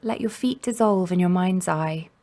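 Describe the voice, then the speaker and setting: Creaky-voiced woman guiding meditation. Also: on the low side. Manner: gentle, unhurried, soothing instruction with long pauses. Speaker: mindfulness meditation guide. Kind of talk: guided body scan meditation narration.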